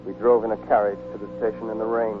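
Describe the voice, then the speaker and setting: A man's voice: on the low side, little pitch movement, fast, close to an everyday voice. Tender male soldier-narrator, actor performing, vintage radio drama, narrow phone-like sound, music underneath. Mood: wistful narration. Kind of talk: 1940s radio acting. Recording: tinny 1940s radio broadcast with music.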